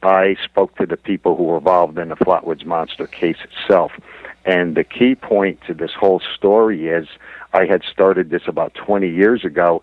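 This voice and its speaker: A man's voice: low voice, dry recording. A man being interviewed as a guest on a radio show, recounting his research in an insistent way, circling back over his points. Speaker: interview guest, author and investigator. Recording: muffled narrow phone-like line.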